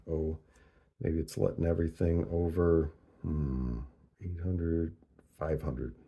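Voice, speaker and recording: Deep, gravelly man explaining casually. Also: mellow. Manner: deliberate, halting, working through figures aloud. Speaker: host of a DIY audio tutorial. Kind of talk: casual, thinking-aloud tutorial narration.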